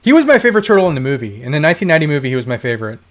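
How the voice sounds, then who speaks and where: Man, livestream host, hoarse dry throat, chatty and rambling, laughs often, at home. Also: a little high, sing-song, rapid-fire. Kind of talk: casual live chat reading viewer comments.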